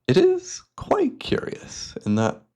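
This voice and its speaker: A man playing a devious, cultured hypnotist and collector in a fantasy ASMR roleplay, speaking in florid, theatrical phrases with a softly coaxing manner. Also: very high voice, wildly swooping pitch, slow pace, heavily acted. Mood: proud, musing.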